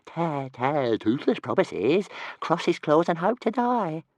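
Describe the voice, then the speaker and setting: A man voicing a small, boastful, stuttering male dragon with a high, squeaky voice. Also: very animated, slow, no room sound, heavily acted. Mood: eager. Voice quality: stammering, squeaky.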